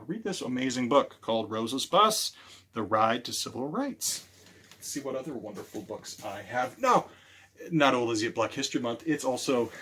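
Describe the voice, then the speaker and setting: A man hosting a library storytime for children, animated and speaking directly to his listeners as he presents and tells stories. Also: medium pitch, breathy edge. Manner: animated, repeats key phrases, addresses listeners directly.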